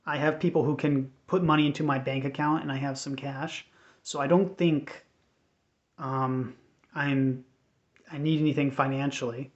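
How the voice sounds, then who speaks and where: American male vlogger, medium pitch, sincere and halting unscripted delivery, slightly dull low-fidelity audio. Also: flat delivery. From United States. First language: English. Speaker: vlogger giving a personal update. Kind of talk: unscripted personal talk to camera. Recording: dull, lo-fi sound.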